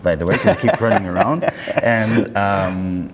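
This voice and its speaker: Male company spokesman, deep-ish voice, conversational and self-correcting, recorded in a noisy reverberant show space. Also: steady tone. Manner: chatty, halting and self-correcting. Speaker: company representative being interviewed. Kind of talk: casual interview chat. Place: indoor hi-fi show. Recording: roomy hall, clear background noise.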